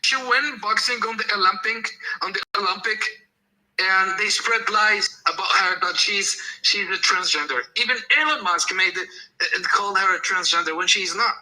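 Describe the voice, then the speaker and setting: A man's voice: high-pitched, moderate pace, pushed and bright, low-quality recording. A man from Algeria, speaking insistently and repetitively as a caller in an online discussion. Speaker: audience caller. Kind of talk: impassioned speech in an online audio discussion.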